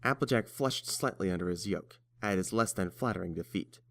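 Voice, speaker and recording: A man's voice: low-pitched, a little fast, gravelly, fairly bright tone. A man reading scripted story narration as the narrator.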